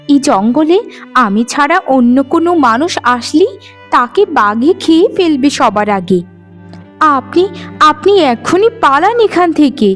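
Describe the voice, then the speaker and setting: A woman playing a kind young forest maiden in a cartoon fairy tale, with a tender, expressive way of talking. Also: sits high. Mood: concerned, caring.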